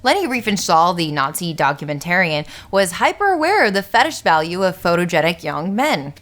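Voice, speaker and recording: A woman's voice: on the low side, big pitch swings, a little fast, a bit bright. A young woman hosting a scripted film-analysis video essay, narrating with wry, sarcastic asides.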